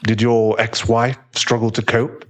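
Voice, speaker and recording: A man playing a calm, tactful therapist in an audio roleplay, speaking in a measured, formal way. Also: mid-range voice, lightly acted. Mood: tactful, probing.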